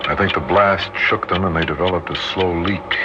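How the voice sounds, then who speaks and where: An actor in a 1960s radio drama playing a father who keeps his family calm while they shelter underground. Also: low-pitched, moderate pace, natural voice. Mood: grim, hushed. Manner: hushed, steady, reassuring. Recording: dull old radio recording with heavy noise.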